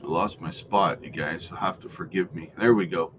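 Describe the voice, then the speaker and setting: Hoarse man hosting a crypto livestream. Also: low-pitched, moderate pace, lo-fi sound, noisy background. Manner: rambling, many fillers, reacts to chat. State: losing his voice. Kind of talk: casual livestream chat reading viewer comments.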